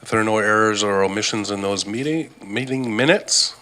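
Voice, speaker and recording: Board chair, lowish male voice, brisk procedural calls, desk mic. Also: pushed and bright, slight background noise. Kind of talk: chairing a meeting. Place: council boardroom. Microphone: desk microphone.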